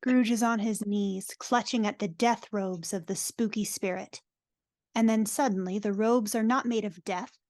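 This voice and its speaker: A woman's voice: medium pitch, fairly even intonation, moderate pace, darkish tone, no echo. A woman narrating stage directions for a live dramatic reading in a wry, informal way.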